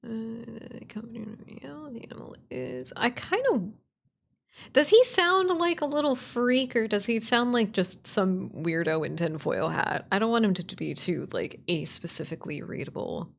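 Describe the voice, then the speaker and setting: Creaky-voiced woman streamer chatting casually. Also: on the high side, expressive, moderate pace. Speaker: streamer, writer and voice actor. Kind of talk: casual livestream chat while working.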